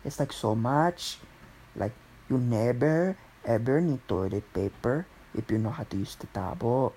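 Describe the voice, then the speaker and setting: A man hosting his own podcast in a Filipino accent, chatting loosely and gushing, often trailing off to hunt for a word. He talks at an unhurried, drawn-out pace, and his tone is soft and mellow. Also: medium pitch, expressive, slight background noise, poor audio. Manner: rambling, gushing, searches for words aloud. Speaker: podcast host. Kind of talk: solo casual podcast chat.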